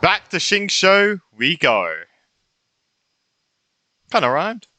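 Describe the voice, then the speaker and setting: A man's voice: high voice, very animated, slow, background noise. A man giving casual, irreverent gameplay commentary as a streamer, reacting off the cuff. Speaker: gaming streamer.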